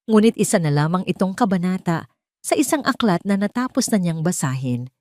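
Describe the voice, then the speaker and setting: A Filipino woman delivering dramatic scripted story narration in a measured, sentimental way with deliberate phrasing. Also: lowish voice, expressive, a little mellow, dry recording, clean studio sound.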